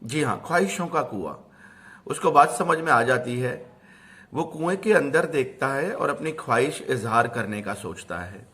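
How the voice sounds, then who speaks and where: A man's voice: mid-range voice, animated, moderate pace, sharp tone. A man telling moral stories in an informal, motivational style, explaining things and repeating phrases for emphasis. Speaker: storyteller.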